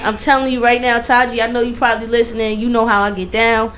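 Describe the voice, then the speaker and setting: A woman's voice: fairly high pitch, flat delivery, quick pace, a bit bright, slight background noise. A woman radio DJ chatting casually and emphatically in slang as she interviews a guest, often repeating phrases for emphasis.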